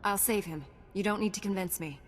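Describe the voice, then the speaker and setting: Woman acting young Jedi heroine, video game dialogue, composed, formal, measured, medium pitch, faint music. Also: natural voice. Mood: determined. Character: composed, principled young female Jedi knight.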